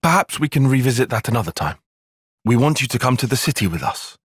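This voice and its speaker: A man playing a cerebral, earnest young soldier and strategist in a video game, speaking in precise, formal, analytical language and quick to exasperation. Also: medium pitch, very animated, slightly rough, dry recording, studio quality. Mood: measured, analytical. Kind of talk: video game cutscene voice acting.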